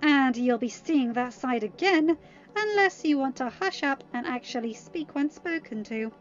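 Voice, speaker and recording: Woman playing tough bossy teenage girl, high-pitched. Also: a little slow, lo-fi sound, faint music behind. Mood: threatening. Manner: firm, threatening, bossy. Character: tough, commanding teenage girl leader with a temper.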